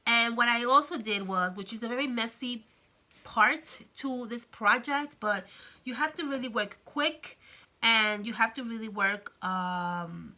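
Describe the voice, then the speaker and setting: A woman hosting a casual crafting tutorial, chatty and friendly, her voice slightly raspy from a cold. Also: a little high, measured pace, pushed and bright. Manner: chatty, friendly, many fillers and "okay?" check-ins. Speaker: craft channel host.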